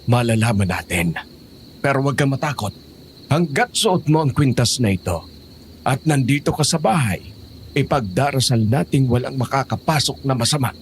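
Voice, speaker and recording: A man's voice: medium pitch, lively intonation, moderate pace, slight background noise, natural voice. A man playing a protective, knowing village elder in an audio horror drama, grave in manner. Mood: grave, reassuring.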